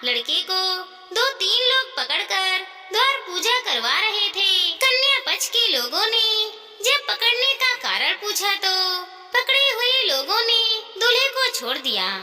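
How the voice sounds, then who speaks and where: Very high, bright woman storyteller in reverb. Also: big pitch swings, measured pace, slight background noise. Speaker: story narrator. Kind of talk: scripted moral story narration in a storytelling tone. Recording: distant voice with long hall reverb.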